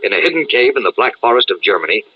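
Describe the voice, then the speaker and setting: A man's voice: mid-range voice, animated, racing, husky, highs cut off. A man narrating a radio serial as its announcer, theatrical and urgent in a 1940s style.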